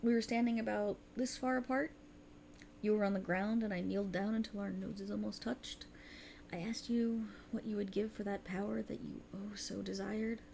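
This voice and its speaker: A woman's voice: mid-range voice, fairly even intonation, moderate pace, creaky, a little mellow, a little hiss or hum, close to an everyday voice, low-quality recording. A woman voicing a sultry, cruel and mocking otherworldly warlock patron in a theatrical ASMR roleplay, teasing and condescending. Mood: seductive, teasing.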